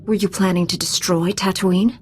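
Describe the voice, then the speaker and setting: An actress playing a dutiful Jedi Knight in a video game, speaking in a measured, serious, authoritative way. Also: female voice, on the low side, fairly even intonation, soft background music, natural voice. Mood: composed, direct. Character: dutiful female Jedi Knight, earnest and composed. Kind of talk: video game cutscene acting.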